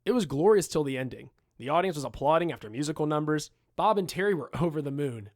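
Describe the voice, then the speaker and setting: A man voicing an animated film director who recalls events with emphatic, dramatic pauses, as in an interview. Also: on the high side. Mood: animated, rueful. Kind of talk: acted reading of interview quotes.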